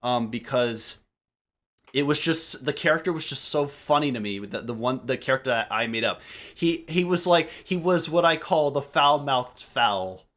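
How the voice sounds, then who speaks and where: A man chatting casually on a group podcast, telling stories in a halting, rambling way that keeps interrupting itself. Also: medium pitch, expressive, fairly bright tone. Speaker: podcast member, amateur voice actor.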